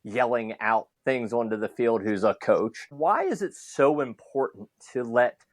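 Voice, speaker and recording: A man's voice: medium pitch, expressive, measured pace, no room sound, clean studio sound. A man hosting a podcast, talking conversationally and building long, reflective questions. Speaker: podcast host. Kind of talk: conversational interview.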